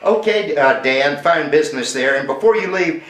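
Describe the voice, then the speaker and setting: A man's voice: fairly high pitch, lively intonation, moderate pace, noisy background. Male ham operator, easygoing radio chat, spells words phonetically, room echo on the mic. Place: home radio station in Mexico.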